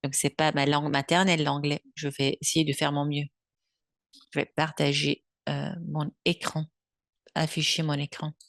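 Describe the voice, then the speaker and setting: A Belgian French woman in her seventies gives a therapy webinar in a low, deep voice. She speaks thoughtfully, pausing often to search for words. The sound is dry and close, picked up by a computer mic on a video call. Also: flat delivery, no room sound. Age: 70s. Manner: unhurried, thoughtful, with frequent hesitations. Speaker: bioenergetic therapist giving a webinar. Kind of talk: webinar lecture.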